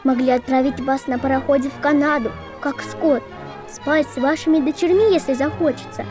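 A woman's voice: high voice, fairly even intonation, fairly quick, music in the background, natural voice. An actress playing an earnest, girlish woman reciting propaganda history didactically in an audio drama. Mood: earnest, grave.

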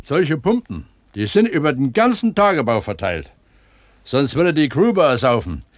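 An actor playing an eager, earnest pump attendant at an opencast mine in a naturalistic radio drama, explaining things like a tour guide. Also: male voice, fairly high pitch, big pitch swings, moderate pace, heavy background noise. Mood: explanatory.

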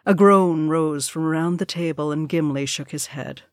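A woman's voice: low voice, moderate pace, no room sound, clean studio sound. A woman reading scripted fiction aloud as an audiobook narrator. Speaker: audiobook reader.

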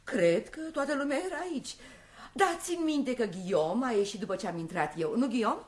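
Actress as poised, expressive society lady of theatre. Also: a little high, lightly acted. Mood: composed. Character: poised, gracious divorced actress, coolly candid. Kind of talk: radio play acting, comedy.

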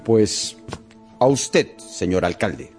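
An actor playing a rigid, law-obsessed police inspector in a radio drama, formal, deliberate and brooding. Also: male voice, fairly low pitch, sing-song, darkish tone, soft background music, heavily acted. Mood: formal, stern.

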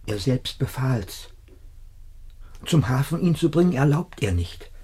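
Dark, husky, humble manservant. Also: male voice, mid-range voice, sing-song, measured pace. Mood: dutiful. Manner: deferential, subdued. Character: loyal, humble manservant to nobility. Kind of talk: classic German radio play acting.

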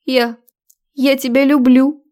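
Woman acting a whiny, high, tearful young woman. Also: vocal fry, a little mellow. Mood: desperate, pleading. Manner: whiny, drawn-out, pleading. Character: tearful, pleading, deceitful young woman, clingy and hysterical.

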